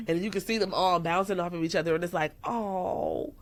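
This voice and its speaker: A woman's voice: lowish voice, a little fast. A woman of thirty, a musician guest in a casual podcast interview, talking freely, laughing often and acting out what people said to her. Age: 30s. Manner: laughs often, animated, quotes herself in raised voice.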